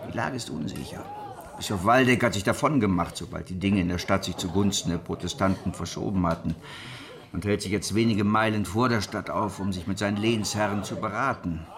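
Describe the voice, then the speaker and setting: An actor playing a grave, shrewd rebel preacher of the sixteenth century in a radio drama, speaking in a measured, earnest way. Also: male voice, deep voice, fairly bright tone. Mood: grave, resolute. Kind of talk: historical radio drama acting.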